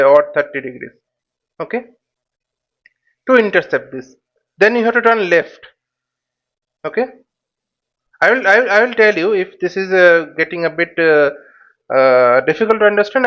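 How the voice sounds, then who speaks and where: A man's voice: high voice, fairly bright tone, muffled recording. A man giving an unscripted step-by-step tutorial, speaking hesitantly, repeating himself and checking that the listener follows. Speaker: flight simulator tutorial instructor.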